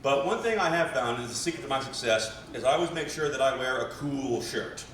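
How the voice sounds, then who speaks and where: A man's voice: mid-range voice, fast talker, a bit bright. An American man performing stand-up comedy at an open mic, with a hesitant, rambling delivery full of trailing asides. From West Virginia family, United States. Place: small live comedy venue. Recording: echoey room, distant mic, faint noise.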